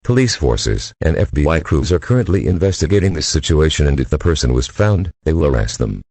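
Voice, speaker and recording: A synthetic-sounding, gruff male voice playing a grave emergency alert system announcer. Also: extremely low pitch, big pitch swings, a little fast, a little mellow, no echo, full character voice. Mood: grave, formal.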